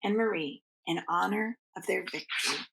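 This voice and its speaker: A woman's voice: on the low side, moderate pace, gravelly, fairly bright tone, poor audio. A woman reading a storybook aloud to children like a schoolteacher, in an informal way. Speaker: teacher reading aloud to students.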